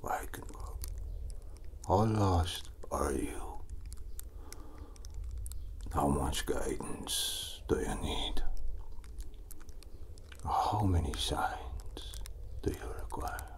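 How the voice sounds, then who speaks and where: A man playing an enigmatic old Viking village elder in a whispered ASMR roleplay, with a put-on Nordic accent and a cryptic, solemn, halting delivery. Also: wildly swooping pitch, full character voice. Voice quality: breathy, whispered, very low.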